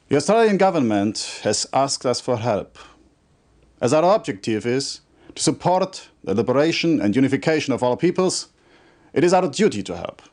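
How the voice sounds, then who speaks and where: An actor playing a stern, formal military commander who briefs an officer in a measured, authoritative way for a video game cutscene. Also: male voice, mid-range voice, darkish tone, natural voice. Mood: solemn. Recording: compressed old game video audio.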